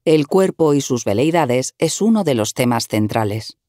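A woman narrating a written article in an even, measured reading style, recorded close to the mic. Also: extremely low pitch, no echo.

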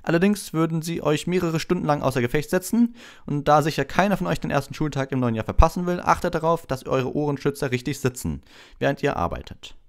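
Guy doing a brisk witch teacher lady in an audiobook, really high voice, telling the class what to do. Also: animated. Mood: brisk, instructive. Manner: instructive, brisk. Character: brisk, practical female herbology professor teaching children. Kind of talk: audiobook character voice.

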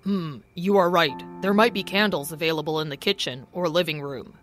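A woman's voice: low-pitched, brisk, fairly bright tone, voice pushed down. A woman voicing a playful, teasing young man with a boastful manner. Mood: calm. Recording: faint music behind.

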